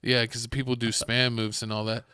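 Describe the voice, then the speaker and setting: A man's voice: medium pitch, little pitch movement, normal speed, pushed and bright, clean tone, no echo, clean studio sound. A Texan man hosting a podcast, chatting casually and rambling about video games, sometimes acting out game scenarios. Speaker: podcast host. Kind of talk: casual sports chat.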